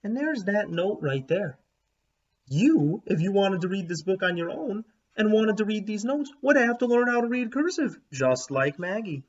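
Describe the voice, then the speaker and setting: A man reads a children's story aloud as a teacher would, in a slightly high, husky voice. His phrasing is expressive and emphatic for young listeners, and he pauses to add explanations. The recording sounds a little dull. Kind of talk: animated read-aloud with explanatory asides.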